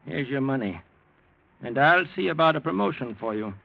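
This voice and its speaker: A man acting in an old radio drama as a wealthy, shrewd, irritable old patriarch with a gravelly voice. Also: medium pitch, lively intonation, moderate pace. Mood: coolly shrewd. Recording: dull, lo-fi old radio recording.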